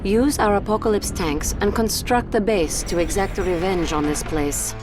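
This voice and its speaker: An efficient military announcer voiced by a woman gives terse, matter-of-fact reports in a strategy game. The voice is mid-pitched, with loud music and vehicle rumble behind. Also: normal speed, natural voice. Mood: businesslike.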